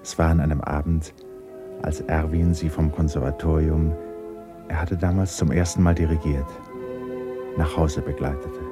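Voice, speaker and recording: Narrator, very deep voice, calm, unhurried, literary radio drama, soft music behind. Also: male voice. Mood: calm recounting. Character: calm, unhurried male storyteller of a woman's memories.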